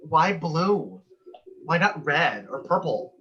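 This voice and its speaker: A man's voice: fairly high pitch, sing-song, slow, bright, forceful tone, exaggerated voice. A man acting a sassy, nitpicking, gossipy office coworker in a sitcom table read, arch and wry, over a muffled video call.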